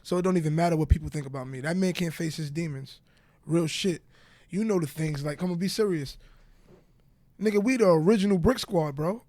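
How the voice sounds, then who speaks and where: A man's voice: on the high side, a little fast, dark tone, no echo. A man with a stutter talking candidly as a podcast guest, repeating his points in short bursts, with a Southern US accent. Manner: stutters, repeats phrases like "bro" and "real shit". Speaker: guest rapper. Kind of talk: candid interview talk.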